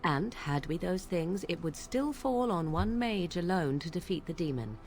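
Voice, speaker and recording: A woman's voice: lowish voice, animated, darkish tone, a little hiss or hum. A cool, wry witch. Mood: aloof. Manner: cool, measured, archaic diction. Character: aloof, wry witch woman, archaic speech.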